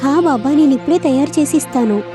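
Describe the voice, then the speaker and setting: A woman voicing Janvi, a worried, eager young wife in an animated storybook. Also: high voice, fairly quick, music in the background, lightly acted.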